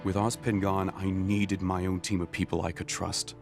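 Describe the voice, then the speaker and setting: An actor playing a stern, weary middle-aged military leader in an animated series, measured and formal. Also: male voice, deep voice, vocal fry. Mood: earnest, grave. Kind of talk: animated series drama acting. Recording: dramatic music under the voice.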